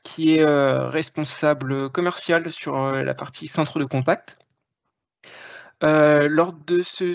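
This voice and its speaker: A man's voice: a little high, vocal fry, no room sound. A man opening a webinar as its host, speaking in a hesitant way with frequent fillers. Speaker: marketing manager hosting a webinar. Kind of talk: webinar introduction. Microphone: video call. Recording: dry, slightly dull online call audio.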